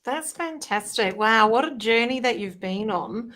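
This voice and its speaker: Higher-voiced woman interviewer. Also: expressive, measured pace, fairly bright tone. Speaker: interview host.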